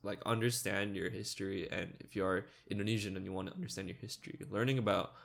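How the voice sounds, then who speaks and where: A man's voice: on the low side, fairly even intonation, quick pace, creaky. A young man co-hosting a podcast, talking in a laid-back, rambling way with many fillers. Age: young adult. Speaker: podcast co-host. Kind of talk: casual chat.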